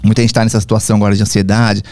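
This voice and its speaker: Fast-talking reflective Brazilian man. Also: fairly low pitch, little pitch movement, a little mellow, no echo. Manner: reflective, halting, many fillers and restarts. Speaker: podcast guest, travel platform founder. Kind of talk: casual conversational interview.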